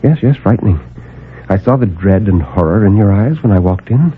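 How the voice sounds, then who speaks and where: An actor playing a calm, superior superhuman guardian, speaking with measured, lofty condescension in a 1950s radio drama. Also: male voice, on the low side, a little hiss or hum, lightly acted. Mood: calm, condescending. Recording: narrowband old AM radio recording.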